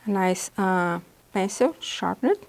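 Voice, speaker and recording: A woman from Brazil whose first language is Portuguese, speaking with a Brazilian Portuguese accent as she patiently demonstrates drawing supplies in a beginner art lesson, pausing often as she explains. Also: medium pitch, animated, vocal fry, a little mellow. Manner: patient, halting explanations with pauses. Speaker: art instructor.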